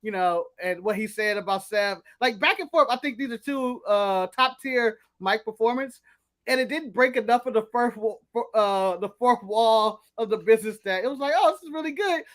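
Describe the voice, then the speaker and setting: Animated American man chatting on a show. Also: sits high, normal speed. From United States. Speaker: podcast co-host. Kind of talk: casual chat.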